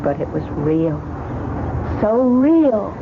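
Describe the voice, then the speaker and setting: An actress playing a distraught young wife who pleads and insists, in old-time radio mystery style. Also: female voice, mid-range voice, sing-song, slow pace. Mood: tearful, desperate. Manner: pleading, emphatic repetition. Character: distraught, insistent young wife doubting her own sanity. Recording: noisy, tinny old radio broadcast.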